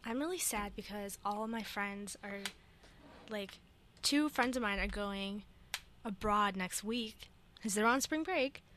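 A young woman co-hosting a college radio show, chatting casually and telling animated stories with laughs and acted-out quotes from a radio studio. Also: medium pitch, unhurried, a little hiss or hum. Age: young adult. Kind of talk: casual chat.

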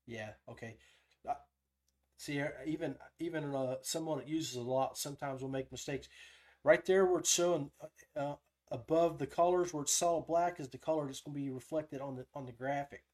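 A man's voice: on the high side, normal speed, darkish tone. A man hosting a casual live tutorial, thinking aloud as he demonstrates each step. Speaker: podcast host giving a software tutorial.

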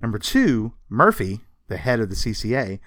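A man's voice: on the low side, very animated, moderate pace, a bit bright, dry recording, clean studio sound. A man co-hosting a podcast, telling history in a casual, emphatic way with a sarcastic streak and some swearing. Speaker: podcast co-host. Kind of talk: casual storytelling about comics history.

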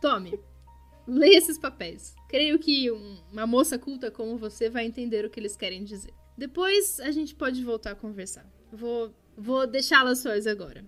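A woman playing a courteous gray-bearded gentleman. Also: fairly high pitch, expressive, moderate pace, faint music behind, close to an everyday voice. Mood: earnest.

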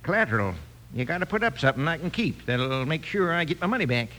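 An actor playing a grasping, suspicious American loan shark in an old-time radio Western, with a curt, wheedling manner. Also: male voice, fairly high pitch, big pitch swings, quick pace, pushed and bright. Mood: curt, stubborn. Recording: noisy vintage radio recording.

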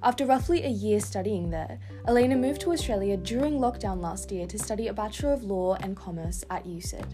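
A woman's voice: mid-range voice, lively intonation, a little fast, hardly any pauses, over music. A teenage girl hosting a podcast, asking questions in a chatty way full of fillers and hedges. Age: teens. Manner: chatty, hedging with many fillers. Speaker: podcast host. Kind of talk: podcast interviewing.

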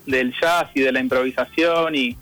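A man's voice: medium pitch, moderate pace, rough recording. A young man from Argentina with a Rosario accent, talking casually about his music as an interview guest over a call, with many fillers. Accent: Rosario Argentine Spanish. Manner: relaxed, rambling, lots of fillers. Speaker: saxophonist guest.

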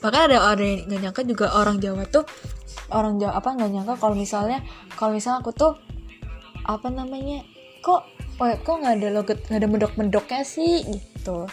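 A woman's voice: mid-range voice, a little fast, faint music behind. A young woman from Central Java chatting as a podcast guest, telling stories with a laugh and acting out the voices of the people she quotes. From Central Java, Indonesia. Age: young adult. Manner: lively, laughing storyteller who acts out quoted voices. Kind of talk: casual chat.

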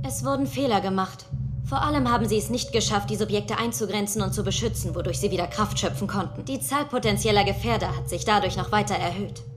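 A woman's voice: mid-range voice, fast, noisy background, close to an everyday voice. A woman playing a stern government superior in a dubbed TV drama, speaking in a formal, clipped and menacing way. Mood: cool, formal. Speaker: voice actor in a dubbed TV series. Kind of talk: dubbed screen drama dialogue. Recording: music clearly behind the voice.

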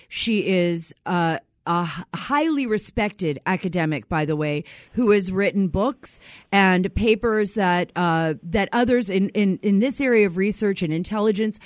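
A woman's voice: medium pitch, dry recording, clean studio sound. A woman hosting an interview, cutting in to explain things for the listeners. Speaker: show host. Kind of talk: interview host.

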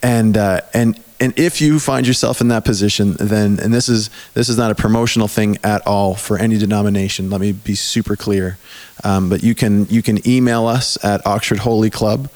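A man hosting a podcast in casual chat, his voice hoarse and mostly gone, talking loosely and restarting his sentences. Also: on the low side, steady tone, normal speed, a little mellow. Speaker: podcast host.

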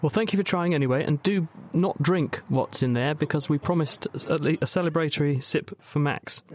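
Brisk, wry male radio host. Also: on the high side, a little hiss or hum. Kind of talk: casual radio science chat.

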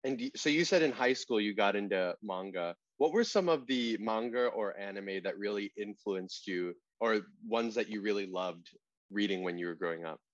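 A man's voice: lowish voice, normal speed, dry recording. Interviewer: man, upbeat, dry, muffled recording. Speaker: podcast interviewer. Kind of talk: interview questions. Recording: dull lo-fi sound.

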